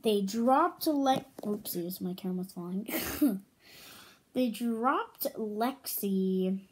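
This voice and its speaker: A woman reading a children's story aloud, pausing to add her own comments and questions for listeners. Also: mid-range voice, animated, low-quality recording.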